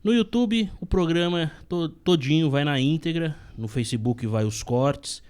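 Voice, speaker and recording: A man's voice: fairly high pitch, measured pace, a little mellow, smooth. A young man hosting a casual podcast, welcoming guests and promoting the show in an upbeat way. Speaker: podcast host.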